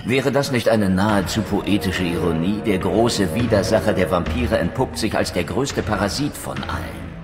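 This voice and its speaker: A man's voice: low-pitched, lively intonation, moderate pace, few pauses, over music. A man playing a brooding, proud undead wraith in a video game, speaking eloquently and theatrically with sardonic bitterness. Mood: sardonic, accusing. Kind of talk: dramatic game dialogue and scripted narration.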